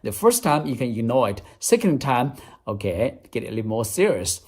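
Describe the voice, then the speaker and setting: A man speaking English with a Chinese accent walks learners through a tutorial one step at a time. He sounds earnest and methodical, often repeating a phrase as he explains. His voice sits at an ordinary, mid pitch. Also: big pitch swings, moderate pace, a little mellow, breathy edge. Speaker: English teacher. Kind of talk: app demonstration tutorial.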